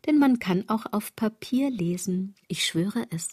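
A woman's voice: mid-range voice, animated, a little slow, darkish tone, husky, no echo, studio quality. An actress playing a sardonic, aging woman in a literary radio drama, speaking in an ironic, declamatory way. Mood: wry, self-pitying. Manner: ironic, declamatory, wordplay-laden delivery. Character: sardonic aging woman musing on fashion and consumerism.

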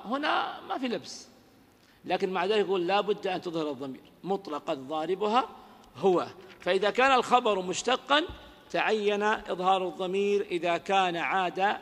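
High-voiced man teaching in a reverberant hall. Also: a bit bright. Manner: quizzes listeners with rhetorical questions; recites verse lines slowly. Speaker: grammar teacher. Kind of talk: lecture explaining a classical grammar poem. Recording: lecture audio with long hall reverb.

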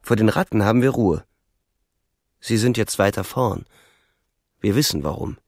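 An actor playing a weary young German frontline soldier, narrating the war in a grim, terse literary radio-drama style. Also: male voice, on the low side, lively intonation, moderate pace, darkish tone.

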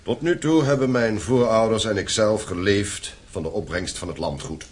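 A man's voice: fairly low pitch, moderate pace, breathy edge. An actor playing a stern, domineering landowning father in a radio play, commanding and formal. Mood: grave, purposeful.

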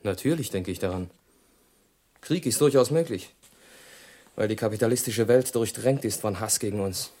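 An actor in a German radio play, playing an earnest, wary engineer in a measured, matter-of-fact manner. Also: male voice, lowish voice, warm tone, lightly acted. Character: male bridge engineer, earnest, wary, upright husband.

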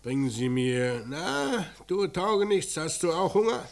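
An actor playing a gruff, commanding police inspector in a radio crime drama, curt and sardonic while needling a suspect. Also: male voice, on the high side, very animated, measured pace, full character voice. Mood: brusque, commanding. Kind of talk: German radio play acting.

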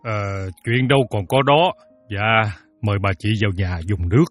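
A man's voice: mid-range voice, lively intonation, fairly quick, no echo, faint music behind, lightly acted. A man acting a humble Southern Vietnamese village father. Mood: conciliatory, pleading. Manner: plain-spoken, polite, gently pleading. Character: humble, worried older village father, patient and conciliatory. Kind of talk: audio story drama dialogue.

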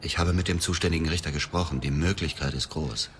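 A man's voice: very deep voice, quick pace, a little hiss or hum. An actor playing a bitter, vengeful grieving man, terse and guarded, in a radio drama. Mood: guarded insistence. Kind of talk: radio drama, dialogue and first-person narration.

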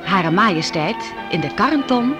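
A man's voice: high voice, animated, moderate pace. A man announcing a radio drama in a scripted style. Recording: radio recording under very loud music.

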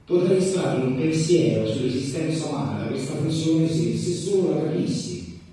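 An actor playing a disgruntled, sarcastic humanities graduate in an ironic stage monologue, colloquial and sweary. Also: male voice, a little high, lively intonation, normal speed, warm tone, lightly acted. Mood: exasperated, ironic. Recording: very echoey theatre, distant mic.

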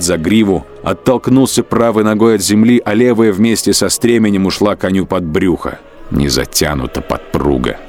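A man giving a measured, dramatic literary narration of a war story for an audio drama. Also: low-pitched, a little mellow.

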